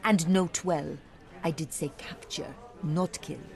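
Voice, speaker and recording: A woman's voice: low voice, slow, creaky, darkish tone, exaggerated voice. An actress voicing a playful, sly young woman journalist in a detective game, teasing and theatrical. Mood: businesslike.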